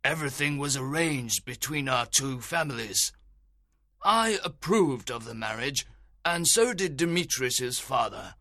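A man's voice: mid-range voice, animated, a little slow, pushed and bright, no echo, clean studio sound, pitched above the performer's own voice. A man voicing a stern old father who insists on being obeyed, in a storybook reading. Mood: earnest. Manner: insistent, aggrieved.

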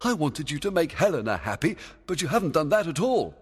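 A man voicing a commanding, excitable fairy king in a storybook, emphatic and theatrical. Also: on the high side, measured pace, husky, long reverb tail, voice pushed up, heavily acted. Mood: angry, commanding. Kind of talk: storybook character voice.

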